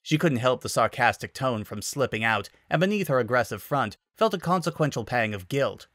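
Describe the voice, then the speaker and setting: A man's voice: medium pitch, lively intonation, fairly quick, fairly bright tone, dry recording, clean studio sound. A man narrating a story as a scripted dramatic reading. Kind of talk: dramatic reading of fan fiction.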